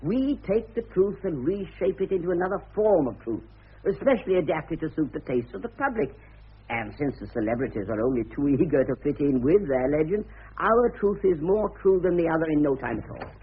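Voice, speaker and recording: Narrow old radio sound, exuberant scheming publicist, swooping intonation, theatrical, persuasive. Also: male voice, fairly high pitch, animated, a little fast, husky. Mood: breezy persuasion. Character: glib, exuberant, scheming male publicity man. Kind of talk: radio drama acting. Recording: band-limited old radio broadcast.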